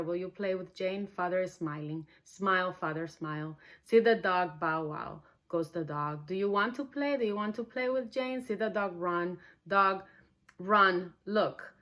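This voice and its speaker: Woman, slightly low pitch, non-native English speaker, earnest, heartfelt, read-along host. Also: fairly bright tone. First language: not English. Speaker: co-founder host and first reader of a read-along. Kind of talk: warm personal introduction, then reading a novel aloud.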